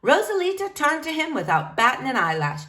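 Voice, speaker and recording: Lady reading a kids picture book out loud, highish voice, super expressive for little ones. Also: a little fast. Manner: animated, addressing young children. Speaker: storybook reader. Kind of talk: expressive read-aloud of a children's picture book.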